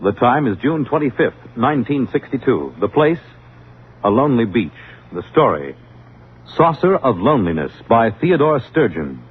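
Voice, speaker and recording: A man's voice: medium pitch, very animated, moderate pace, natural voice. An actor playing a dramatic man in an old radio drama. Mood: solemn. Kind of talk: mid-century radio drama acting. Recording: tinny vintage radio recording, faint noise.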